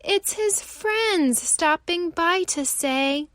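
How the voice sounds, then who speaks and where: A woman reads a picture book to young children in a high voice, unhurried and theatrical. Her pitch swings widely as she tells the story, in the expressive style of a storytime host. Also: no room sound. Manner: animated, theatrical delivery.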